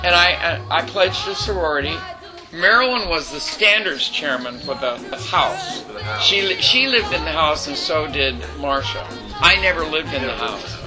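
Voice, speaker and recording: An older woman in her eighties tells stories from her life with a loud, lively voice. She talks chattily and plays out the dialogue of the people in her stories. Music plays behind her. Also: low-pitched, moderate pace, poor audio. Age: 80s. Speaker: interviewee. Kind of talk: reminiscing storytelling.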